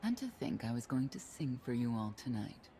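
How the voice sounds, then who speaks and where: A woman playing a middle-aged, reflective, wry warrior in a game, with a gravelly voice and deliberate delivery. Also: rumbling low, big pitch swings, dark tone, a little hiss or hum, heavily acted. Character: seasoned, reflective, dryly witty warrior woman.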